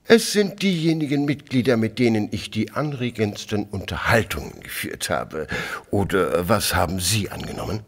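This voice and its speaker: An actor playing a haughty, disgruntled English gentleman in a German audio drama, speaking stiffly and formally with hesitant fillers and a husky voice. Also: on the low side, lively intonation, moderate pace. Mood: curt, formal. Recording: long reverb, music underneath.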